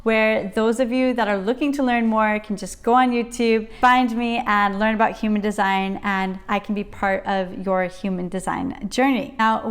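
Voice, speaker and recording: A young woman in casual talk to camera, chatty and enthusiastic, often breaking off to interrupt herself. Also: mid-range voice. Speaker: YouTuber sharing her channel story. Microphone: camera at desk, reading notes off a computer.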